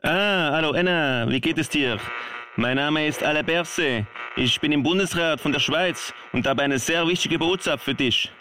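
A grave, formal government minister acted by a man speaks in a radio play. The voice is sharp and slightly high, earnest and official, with faint noise and clicks. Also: normal speed. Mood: solemn, urgent.

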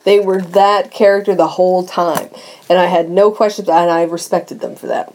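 A woman's voice: lowish voice, moderate pace, a little mellow, a little hiss or hum, rough recording. A young woman co-hosting a casual podcast chat, talking freely, laughing often and trailing off mid-thought. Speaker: podcast co-host.

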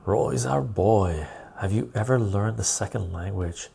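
A man's voice: lowish voice, measured pace, creaky, mellow, breathy edge. A man whose first language is Armenian, hosting a casual livestream, reading viewer comments aloud with frequent chuckles and rambling asides. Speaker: livestream host. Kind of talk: casual live-stream chat.

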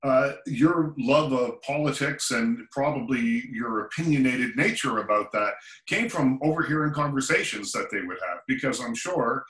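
A man from Nova Scotia hosting an interview, asking curious questions with halting fillers. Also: mid-range voice, lively intonation, fairly bright tone. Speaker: interviewer host. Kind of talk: casual interview. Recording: roomy echo.

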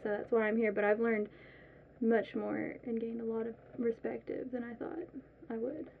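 A woman's voice: fairly high pitch, flat delivery, normal speed, vocal fry, a little hiss or hum. A woman in her twenties from eastern Kentucky, a college student, explaining her plans steadily. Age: 20s. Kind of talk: radio interview. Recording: narrow phone-like sound with faint background.

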